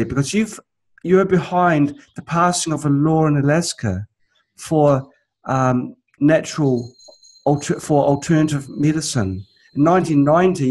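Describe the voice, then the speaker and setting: A man with a New Zealand accent and a lively, swinging pitch hosts an interview with easy enthusiasm. His voice is slightly husky, and he often stops and restarts his sentences. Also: poor audio. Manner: enthusiastic, laughs easily, halting restarts. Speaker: interview host. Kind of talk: interview chat.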